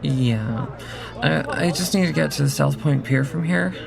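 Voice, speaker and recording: A woman acting as a young, freshly turned vampire in an audio drama, slow and halting with a creaky edge. She sounds confused and unsure of herself, with music playing under her voice. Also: low-pitched, exaggerated voice. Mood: awkward, polite. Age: young adult. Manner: hesitant, halting.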